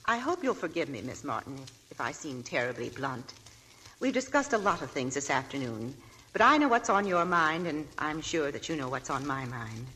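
A woman's voice: low voice, very animated, normal speed, sharp tone, exaggerated voice, poor audio. An actress playing an anxious, genteel older mother of an old family, gracious and hesitant, in 1940s radio acting. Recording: old radio broadcast with faint noise.

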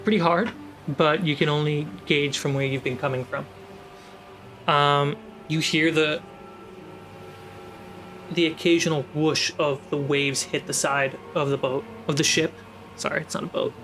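A man narrating a tabletop fantasy game as game master in deliberate, halting phrases, with music behind him. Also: a little high, fairly even intonation, fairly bright tone.

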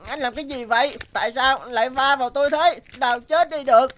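A woman's voice: high voice, brisk, natural voice. A woman voicing an anxious, fragile porcelain vase in a cartoon, fretful and complaining. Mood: indignant. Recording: loud music under the voice.

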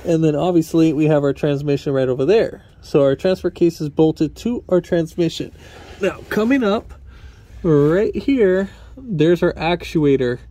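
A man in his thirties, an auto mechanic, talks viewers through a repair in an informal, halting, conversational way into a handheld phone. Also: a little high, dark tone. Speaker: auto mechanic and channel host. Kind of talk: informal hands-on repair explainer to camera. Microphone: handheld phone at arm's length.

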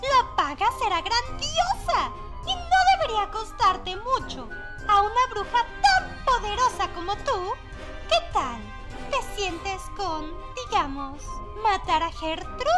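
A woman's voice: extremely high pitch, very animated, takes it slowly, heavily acted. A woman voicing a haughty cloud queen. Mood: wheedling. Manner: haughty, wheedling. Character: scheming female cloud queen. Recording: loud background music.

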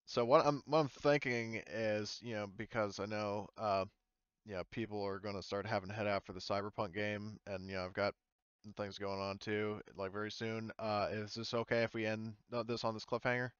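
Man running a tabletop game, clear voice. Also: on the low side, level tone, moderate pace, no echo, dull audio. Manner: hesitant, searching for words. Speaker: game master. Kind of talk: casual tabletop roleplaying narration and chat. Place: voice chat in an online game session.

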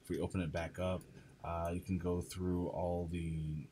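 A man giving a casual, informal software tutorial, chatting to viewers as he goes. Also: sits low, level tone, measured pace, a little hiss or hum. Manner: informal, addresses viewers as guys. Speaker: video creator, tutorial host. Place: indoors at a computer.

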